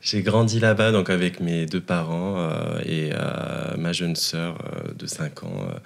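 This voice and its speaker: A very deep-voiced man from Morocco talks as a podcast guest. He speaks hesitantly, with plenty of fillers, and often breaks into laughter. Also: lively intonation, measured pace, gravelly. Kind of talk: casual interview.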